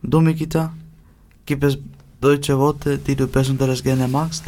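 A man acts as interviewer and reads his questions plainly and evenly. His voice sits mid-range, and its pitch barely moves. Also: level tone, measured pace, dark tone, slight background noise.

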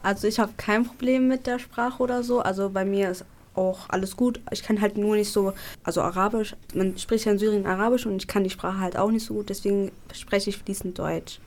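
A woman's voice: medium pitch, steady tone, fairly quick, darkish tone. A teenage girl from Syria, a student guest, speaking plainly and matter-of-factly. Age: teen.

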